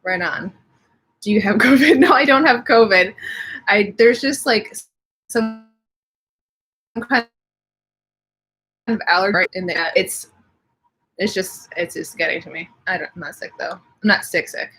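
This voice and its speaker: A woman's voice: mid-range voice, measured pace, pauses often, fairly bright tone, husky, rough recording. A young woman with slightly sniffly allergies, chatting casually from home on a live stream and reading out viewer comments. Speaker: livestream host.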